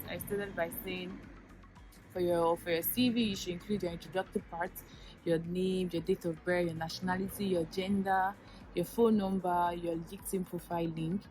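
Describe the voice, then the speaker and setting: A woman's voice: on the low side, darkish tone. A Nigerian woman in her twenties, a Yoruba speaker, giving earnest, chatty advice in a vlog with many fillers and restarts. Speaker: YouTuber giving application advice.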